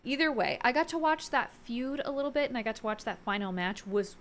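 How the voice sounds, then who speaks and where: A woman's voice: on the high side, fairly quick. A woman speaks in an informal, conversational style, as a fan vlogger would. She sounds enthusiastic about what she reviews. Her delivery is casual and chatty, like unscripted commentary.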